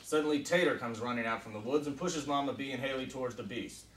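A man's voice: mid-range voice, fast talker. Man directing a table read in an echoey room. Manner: informal, peppered with "you know" and "like". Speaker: film director. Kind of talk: reading stage directions and explaining shoots at a table read. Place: small indoor conference room. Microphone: camera mic across the table. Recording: echoey meeting room, distant mic, faint noise.

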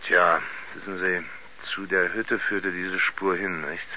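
Rural sheriff, low voice, folksy, halting, puzzled testimony, radio drama, faint noise. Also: male voice, flat delivery, pushed and bright, full character voice. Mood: puzzled, matter-of-fact. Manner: folksy, halting, thoughtful witness account. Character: plain-spoken rural American sheriff giving testimony. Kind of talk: 1970s German radio play acting. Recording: slightly dull, faintly noisy 1970s radio recording.

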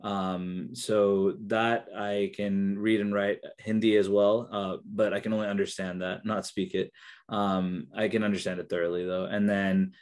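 A young man whose first language is Kannada speaks on a video call in a fairly low voice. He talks haltingly, with many ums and restarts, as an interview guest. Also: flat delivery, darkish tone. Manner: hesitant, many fillers and restarts. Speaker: interview guest, researcher and therapist.

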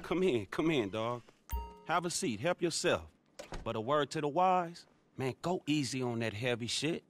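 A man's voice: medium pitch, animated, moderate pace, soft background music, natural voice. An actor playing an exasperated, sceptical streetwise man in a video game, blunt and slangy. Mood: laid-back. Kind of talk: video game cutscene acting.

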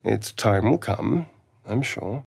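A man's voice: fairly low pitch, animated, takes it slowly, vocal fry, a little mellow, husky, no echo, clean studio sound, full character voice. A man with a British accent playing a vain, sardonic immortal vampire rogue in a fantasy video game, theatrical and arch. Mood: wary, musing. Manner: arch, drawling, flamboyant.

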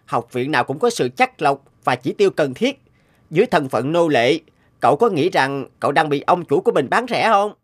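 A man's voice: fairly high pitch, big pitch swings, fairly quick. An actor playing a principled young man in a cartoon, a concerned friend who speaks earnestly and frankly. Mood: serious. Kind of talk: cartoon dubbing.

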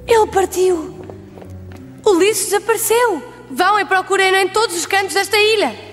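A woman with a European Portuguese accent playing a distraught, commanding palace lady in a dubbed animated film, calling out urgently and melodramatically. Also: extremely high pitch, moderate pace. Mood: frantic. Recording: long hall reverb, music underneath.

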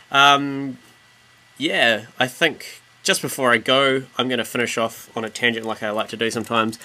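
A man talks through a music production lesson in a casual, informal way. His voice is very bright and forward, sitting slightly low in pitch. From New Zealand. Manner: informal, mildly sweary. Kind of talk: casual screen-recorded software tutorial. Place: indoors, voice-over on screen recording.

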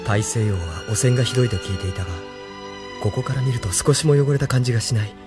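A man's voice: mid-range voice, fast talker, music in the background, natural voice. A man playing a brave, idealistic young mecha pilot in an audio drama, thinking aloud dramatically. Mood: reflective, earnest. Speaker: voice actor in radio drama. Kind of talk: anime-style audio drama acting.

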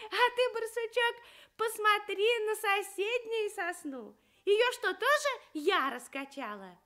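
Little storybook squirrel, extremely high voice, cheerful, sensible and chirpy. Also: female voice, animated, takes it slowly, pushed and bright, exaggerated voice. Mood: patient reasoning.

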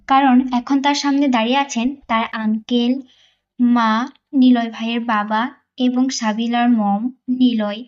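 A woman's voice: sits high, a little mellow, highs cut off. A woman narrator reading a scripted romance story.

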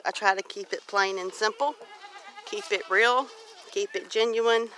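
A woman's voice: mid-range voice, fairly even intonation, a little slow, fairly bright tone. A middle-aged woman talking casually in a plain-spoken, folksy way, repeating phrases for emphasis as she walks and talks. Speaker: homestead vlogger. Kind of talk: casual walk-and-talk monologue. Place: outdoors on a grassy homestead field. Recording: noisy outdoor recording with geese honking.

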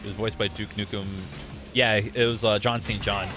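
Man, low voice, young adult, opinionated game streamer, casual rambling commentary, music playing clearly behind him. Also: little pitch movement, normal speed. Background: video game music and sounds.

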